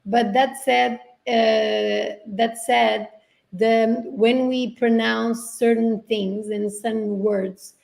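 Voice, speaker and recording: A woman whose first language is Portuguese, with a deep gravelly rasp and a pitch on the high side for a woman. She speaks reflectively, circling back and restarting as she reaches for the right English words. She is heard over a video call. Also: fairly even intonation, slow, a little mellow. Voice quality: gravelly low-toned voice. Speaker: guest. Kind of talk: casual conversational interview.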